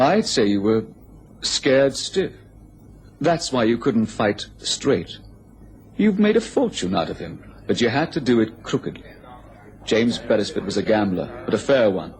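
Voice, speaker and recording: A man's voice: lowish voice, very animated, unhurried, a little mellow, slight background noise, rough recording. An actor playing a taunting upper-class businessman in a radio drama, with a sardonic, goading manner. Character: sneering, taunting upper-class English businessman, a bitter rival.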